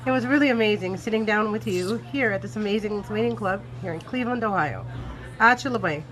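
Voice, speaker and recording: A woman with a middle-pitched voice hosts an interview at a community club. She reads out her prepared questions and thanks her guest in a gracious, upbeat way. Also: quick pace, low-quality recording. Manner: bright, gracious, thanks the guest, laughs along. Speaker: interview show host. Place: Lithuanian club.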